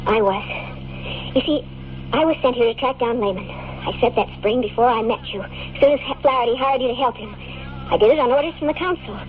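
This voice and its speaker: A tinny old radio recording with faint noise carries a woman acting as a young American time traveler in love. Her voice is high, and she speaks with earnest, pleading intensity in classic radio drama fashion. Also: a little fast, close to an everyday voice. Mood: regretful.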